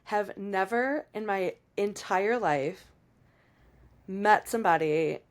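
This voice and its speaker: A woman hosting a podcast, her voice raspy and hoarse from a cold. She talks in a chatty, emphatic way and laughs easily, at a medium pitch. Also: takes it slowly. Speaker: podcast host and sex educator. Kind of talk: casual podcast conversation.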